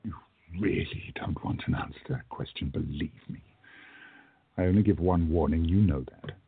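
An actor playing a calm, protective time traveller in a radio play, speaking in a measured, firm way. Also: male voice, deep voice, husky, no echo, heavily acted. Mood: firm warning. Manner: measured, firm, hushed at times. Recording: narrow muffled phone-like audio.

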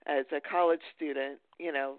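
Higher-voiced man on a phone-like line. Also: fairly even intonation, no echo. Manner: halting, rambling delivery. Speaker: podcast host. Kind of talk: casual interview chat.

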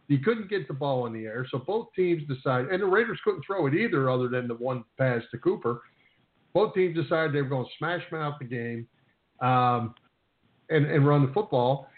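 A man co-hosting a sports radio show, talking casually and haltingly, often repeating words as he works through his point. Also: a little high. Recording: roomy, thin phone-like sound.

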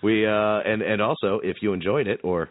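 A man co-hosting a show in casual chat, halting and repeating words as he thinks. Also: fairly low pitch, steady tone. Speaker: radio show co-host. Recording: narrow phone-like band.

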